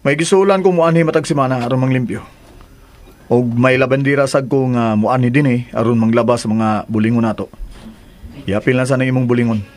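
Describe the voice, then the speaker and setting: A man's voice: medium pitch, fairly even intonation, brisk, lightly acted. An actor with a Filipino accent playing a firm, worried expectant father in a radio drama, speaking earnestly. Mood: concerned, firm.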